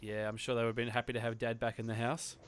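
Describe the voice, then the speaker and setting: A man's voice: mid-range voice, completely flat delivery, fast, a crowd in the background. An Australian man hosting a podcast in casual chat, laughing easily and thinking aloud as he goes. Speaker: podcast host.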